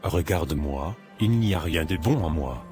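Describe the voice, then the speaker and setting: Un homme qui joue un petit voyou de dessin animé, la voix grave, sur fond de musique. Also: male voice, extremely low pitch, lively intonation, normal speed, a little mellow. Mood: remorseful. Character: adult male small-time criminal, cornered and panicky, later repentant. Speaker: cartoon character. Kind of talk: animated drama dubbing. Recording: music playing behind the voice.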